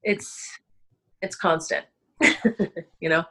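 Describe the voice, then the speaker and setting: A woman's voice: on the low side, wildly swooping pitch, very slow, pushed and bright. Woman, impassioned, rambling, many fillers, stressed, overwhelmed. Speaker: interview guest, wedding planner. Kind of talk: emotional conversational interview.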